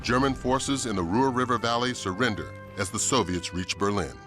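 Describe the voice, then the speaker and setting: A man giving solemn, measured, scripted documentary-style narration of wartime history. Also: fairly low pitch. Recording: music behind.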